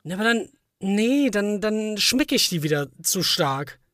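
A man's voice: sits high, lively intonation, measured pace, sharp tone, no room sound, clean studio sound. A man co-hosting a podcast, chatting casually and jokingly in short colloquial remarks. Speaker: podcast co-host. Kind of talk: casual chat.

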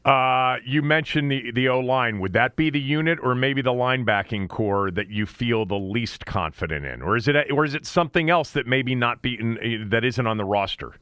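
A man's voice: mid-range voice, normal speed, few pauses, a bit bright, clear, resonant tone, no room sound. Dry-sounding male sports show host. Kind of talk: conversational interview questioning.

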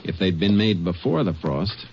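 A muffled, hissy 1950s radio western recording carries a low-voiced American Texas Ranger. He is steady and shrewd, speaking in terse, measured lines with quiet authority. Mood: purposeful. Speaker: lead actor and narrator. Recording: muffled, noisy old radio broadcast recording.

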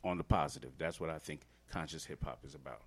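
A man's voice: very deep voice, quick pace. A man from Trinidad and Tobago, a guest hip-hop artist, explaining earnestly in a casual podcast interview with many fillers.

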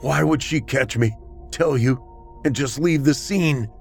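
A man's voice: medium pitch, fairly even intonation, very slow, gravelly, darkish tone, faint music behind, voice pushed up, full character voice. A man voicing a desperate, hunted man in a horror story, pleading urgently in a strained voice. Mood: panicked.

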